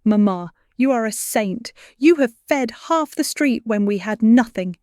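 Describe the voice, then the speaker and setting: A woman voicing a big, rough but loyal young male blacksmith, earnest and troubled, in a dramatic story. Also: fairly high pitch, animated, a little slow, a little mellow, dry recording, clean studio sound, pitched above the performer's own voice. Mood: puzzled. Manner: earnest, troubled, simple speech.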